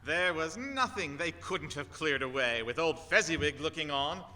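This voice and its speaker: A man's voice: fairly high pitch, expressive, normal speed, sharp tone. A man giving theatrical storybook narration as narrator in a radio play. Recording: reverberant hall, music underneath.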